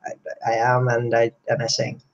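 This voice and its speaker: Twenties Russian-born male entrant, flat delivery, lots of fillers, lo-fi sound. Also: medium pitch, normal speed, gravelly, a little hiss or hum. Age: 20s. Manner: hesitant, many fillers. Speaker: contest entrant. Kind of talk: casual video call chat. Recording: dull lo-fi call audio.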